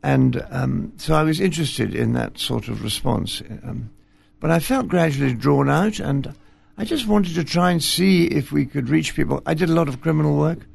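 Older clergyman guest, heavy vocal fry, gentle and self-deprecating, studio interview. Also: a little high, moderate pace. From Scotland. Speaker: retired bishop, guest. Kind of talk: relaxed interview conversation. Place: television studio.

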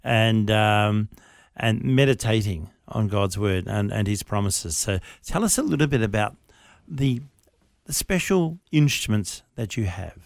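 A man hosting a radio interview, his voice gravelly with a lot of fry. He talks in a chatty, upbeat way, and his pitch swings widely. The sound is dry and close to the mic. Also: lively intonation, measured pace, no echo. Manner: chatty, upbeat, lots of fillers and restarts. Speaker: radio producer and fill-in host. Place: radio studio.

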